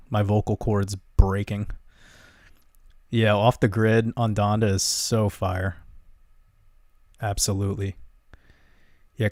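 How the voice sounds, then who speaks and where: A young man with a gentle voice hosting a livestream, casually chatting and answering viewer comments in a relaxed, rambling way with easy laughs. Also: on the low side, no room sound, studio quality. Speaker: livestream host.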